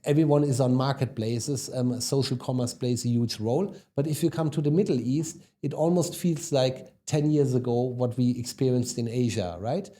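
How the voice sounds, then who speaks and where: A man's voice: medium pitch, normal speed, hardly any pauses, dark tone. A man with a German accent, a guest on a business podcast, talking casually with many ums and tag questions. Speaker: guest, e-commerce executive. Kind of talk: podcast interview.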